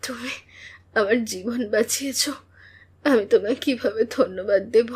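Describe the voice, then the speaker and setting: A woman's voice: a little high, moderate pace, slightly rough. A woman playing an earnest, anxious young village sister in a cartoon drama, speaking in an emotional, pleading way. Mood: weak, grateful.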